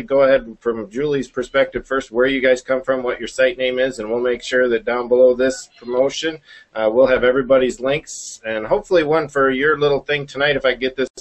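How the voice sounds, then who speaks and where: A man's voice: medium pitch, rough recording. A man hosting an online interview show, upbeat and folksy, thanking his guests at length. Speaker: host.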